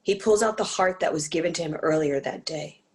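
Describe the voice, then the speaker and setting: A woman with a fairly low voice reads scripted dramatic narration. She tells the story in measured, formal sentences, as the narrator of a play reading. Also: darkish tone.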